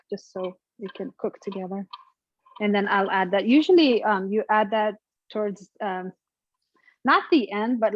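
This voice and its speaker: A woman's voice: mid-range voice, lo-fi sound, a little hiss or hum. A young woman with an Afghan accent, chatting hesitantly and laughing as she walks through cooking a family dish. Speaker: guest cook. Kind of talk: casual cooking demonstration.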